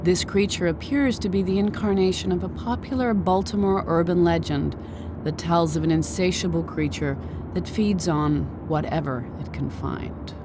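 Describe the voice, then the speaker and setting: An actress playing a composed researcher who catalogues monsters in measured, clinical scripted narration for a video game. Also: female voice, on the low side, noisy background, lightly acted.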